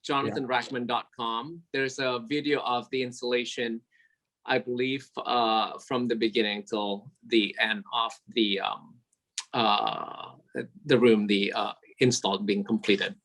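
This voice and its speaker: A man who grew up speaking Javanese talks about design in an earnest, sentimental way. He speaks at a middling pitch, stops often on fillers, and restarts his sentences. He is recorded at his office at a city store. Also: poor audio. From Indonesia. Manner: warm, sentimental, halting with many fillers. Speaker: interior designer. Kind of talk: casual interview over video call.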